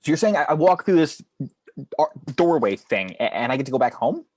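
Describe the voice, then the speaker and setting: Man playing husky, excitable brash fallen angel. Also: a little high, a little fast. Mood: anxious, incredulous. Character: Loki, brash sardonic fallen angel, eager to smite. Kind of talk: table read of a film script.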